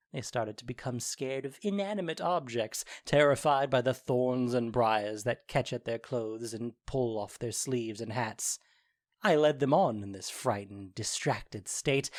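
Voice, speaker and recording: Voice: mid-range voice, close to an everyday voice. An actor playing a mischievous fairy servant in an audio drama, telling things with gleeful, boastful theatricality. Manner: animated, boastful storytelling.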